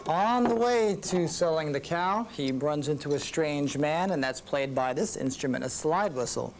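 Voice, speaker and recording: A woman narrating a children's story in simple, deliberate phrases, with musical instruments behind her. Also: low voice, animated, a little mellow, a little hiss or hum, low-quality recording.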